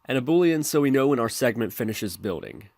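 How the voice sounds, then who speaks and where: A man's voice: medium pitch, expressive, brisk, a little mellow, no room sound. A man hosting a programming tutorial, narrating step-by-step instructions in a screencast style.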